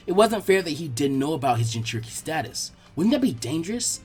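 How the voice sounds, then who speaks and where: A man reading fan fiction aloud as an expressive scripted narration. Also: fairly high pitch, fairly quick, husky, faint music behind.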